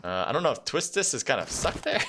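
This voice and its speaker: A man doing live esports play-by-play in a mid-range voice, loose and chatty with plenty of "uh"s, his voice climbing sharply whenever a fight kicks off. Game gunfire and clicks run clearly underneath his commentary. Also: fast, noisy background. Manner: excitable, pitch leaps during fights, casual fillers. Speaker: esports caster.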